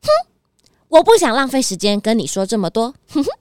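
A sly, haughty fox lady thief, played by a woman with a Taiwanese Mandarin accent. Her highish voice swoops about as she taunts, righteous and cool, in a dry children's radio drama. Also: very animated, fairly quick, darkish tone, no echo. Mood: stern, threatening.

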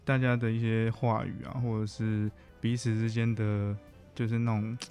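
A man with a Taiwanese Mandarin accent chatting casually as a guest counselor, haltingly and often correcting himself. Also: fairly low pitch, little pitch movement, darkish tone, soft background music. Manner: halting, self-correcting, frequent humming backchannels. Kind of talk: casual conversational interview.

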